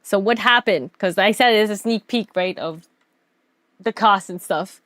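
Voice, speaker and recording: A woman streamer telling stories casually over a slideshow, animated, giggly and slangy in her delivery. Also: mid-range voice, measured pace, no echo. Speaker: streamer and artist.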